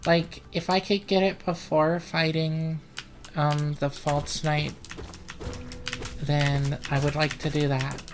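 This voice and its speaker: A let's player giving casual, rambling gaming commentary, profane and deadpan, with keys clicking. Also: mid-range voice, slow, music in the background. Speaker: let's play host.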